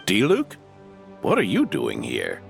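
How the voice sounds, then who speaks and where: An actor playing a seasoned, dutiful old knight in a video game, with a gravelly voice and an earnest manner. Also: male voice, low-pitched, huge pitch swings, takes it slowly, soft background music, heavily acted. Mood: wry, friendly. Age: older.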